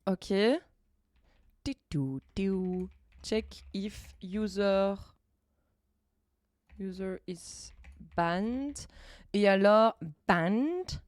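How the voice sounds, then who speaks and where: A woman streamer chatting casually while she codes live, thinking aloud in halting bits and dropping in English coding terms. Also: mid-range voice, lively intonation, no echo, clean studio sound. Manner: thinks aloud, halting, many fillers and restarts.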